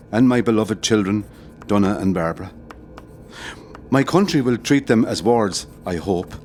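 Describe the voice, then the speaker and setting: An actor with an Irish accent playing a grave, tender condemned rebel commandant in a solemn audio drama. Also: male voice, on the low side, a little mellow, slight background noise, natural voice.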